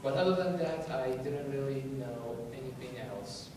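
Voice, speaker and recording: A man's voice: medium pitch, animated, normal speed. A young man from Iraq whose first language is Arabic, speaking hesitantly to a conference audience with many restarts and an easy laugh. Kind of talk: casual informal talk to an audience. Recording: echoey hall from far off, noisy.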